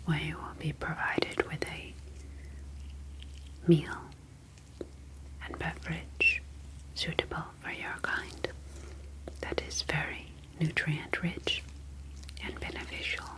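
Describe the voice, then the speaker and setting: Slow whispering woman as a calm alien scientist. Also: deep voice, heavily acted, rough recording. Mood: soothing, formal. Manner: soft, slow, formal, gently reassuring. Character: calm, clinical ocean alien scientist tending a human. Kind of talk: whispered ASMR sci-fi roleplay.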